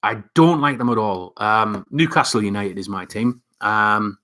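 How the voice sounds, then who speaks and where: Young male host, Northeast England accent, lowish pitch, loose rambling delivery full of ums. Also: a little slow, sharp tone. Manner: rambling, many fillers and restarts. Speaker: livestream host reading chat. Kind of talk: casual live chat.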